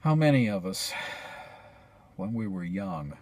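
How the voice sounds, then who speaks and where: A man's voice: sits low, sing-song, unhurried, darkish tone, voice pushed up, exaggerated voice. A man performing a stage monologue as an earnest character reasoning persuasively with someone. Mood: earnest persuasion.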